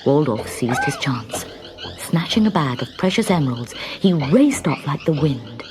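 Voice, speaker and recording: Casting: female storybook narrator, low register, highly animated and dramatic, music beneath. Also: measured pace. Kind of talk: scripted children's story narration.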